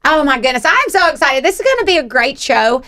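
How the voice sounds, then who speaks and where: A woman's voice: sits high, big pitch swings, fast talker, pushed and bright. A middle-aged woman with a Southern US accent, hosting a chatty motivational radio show in an effusive, encouraging way. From Georgia, United States. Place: radio studio.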